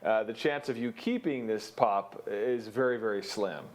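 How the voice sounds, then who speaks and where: A man's voice: lowish voice, moderate pace. An American man anchoring a morning television news show in a chatty, conversational style.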